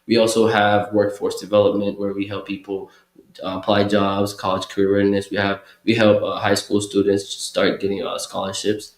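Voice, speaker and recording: A man's voice: on the low side, monotone, normal speed. A young man from Kenya talking as a guest in a casual interview, loose and conversational with many fillers and restarts. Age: young adult. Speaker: guest, community program worker. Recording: roomy echo.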